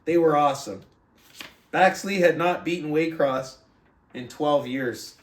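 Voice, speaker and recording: A man with a fairly high voice hosts a book club, talking and reading aloud. He sits back from the microphone, and the room around him echoes clearly. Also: measured pace. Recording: roomy echo, distant from mic.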